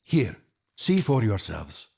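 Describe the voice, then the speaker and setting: An actor in an audio drama playing an earnest, devout Magus, speaking in a solemn, impassioned, oratorical manner. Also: male voice, lowish voice, big pitch swings, slow, vocal fry, darkish tone, a little hiss or hum, exaggerated voice. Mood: fervent. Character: Artaban, earnest devout male Persian Magus, fervent seeker.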